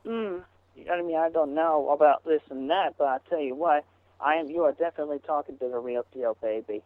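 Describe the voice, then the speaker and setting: A man on a phone call, talking haltingly with many fillers and restarts. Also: fairly high pitch, no room sound. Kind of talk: rambling phone conversation. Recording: tinny phone line.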